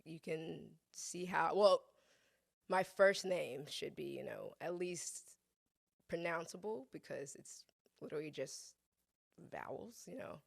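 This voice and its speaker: Gravelly-voiced woman chatting easily. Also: sits low, expressive, slow pace. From born in Ghana, raised in the US South. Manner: chatty, laughs easily, playful. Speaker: chef, podcast guest. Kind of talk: casual conversation.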